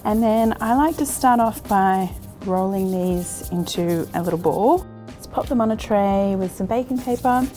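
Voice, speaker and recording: A woman's voice: medium pitch, a little slow, music in the background. An Australian woman in her thirties hosting a cooking show, chatting through a recipe step by step in an encouraging, easygoing way and laughing easily. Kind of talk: cooking demonstration.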